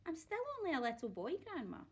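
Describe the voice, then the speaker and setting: A woman's voice: high voice, big pitch swings, fast, darkish tone, voice pushed up. A woman voicing a polite, put-upon eight-year-old boy, meek and earnest, in a storybook reading. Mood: protesting.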